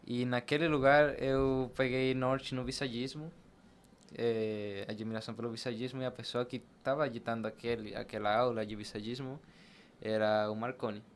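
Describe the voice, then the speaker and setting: Clear background noise sits around a man of middling pitch. He is a native Spanish speaker with a Venezuelan accent, talking conversationally as a guest in an interview. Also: fairly even intonation, moderate pace. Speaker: podcast guest, barber. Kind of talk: conversational interview answers, personal anecdotes.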